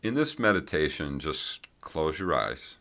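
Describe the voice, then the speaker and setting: A man leading a guided meditation with gentle, unhurried instructions and long pauses between phrases. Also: a bass voice, big pitch swings.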